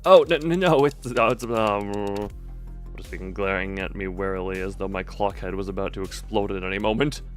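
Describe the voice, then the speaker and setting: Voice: low voice, normal speed, soft background music. A game stream host chatting casually and rambling while reacting to chat. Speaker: livestream host.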